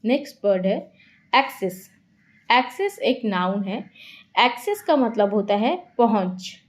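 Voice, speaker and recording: A woman teaching English vocabulary in Hindi, repeating each word and example sentence slowly to explain it. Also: medium pitch, lively intonation. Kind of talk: slow explanatory lesson.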